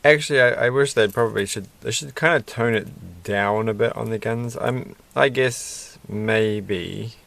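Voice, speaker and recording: Soft-spoken gravelly man. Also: lowish voice, unhurried, few pauses. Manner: soft-spoken, muttering to himself, self-deprecating. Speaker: vlogger building a toy kit. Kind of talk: casual rambling build commentary. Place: indoors at a desk at night.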